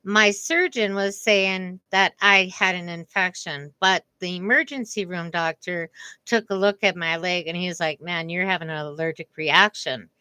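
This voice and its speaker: A middle-aged woman talking slowly and earnestly about her own experience, with careful pauses and a slightly strained voice. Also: fairly low pitch, fairly even intonation, pushed and bright, clear, resonant tone, no room sound. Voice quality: voice strained after a vocal cord paralysis. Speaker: speaker giving a message on communication. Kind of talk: slow reflective personal talk.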